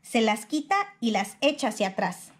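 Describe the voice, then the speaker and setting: A woman reading a children's picture book aloud in expressive storybook narration. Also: on the high side, moderate pace.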